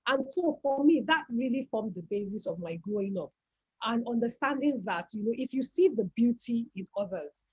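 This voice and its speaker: A woman's voice: a little high, lively intonation, moderate pace, slightly rough. A woman with a Nigerian accent, speaking as a guest on an online talk and telling her family's story reflectively, often repeating words as she searches for them. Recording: dull lo-fi call audio.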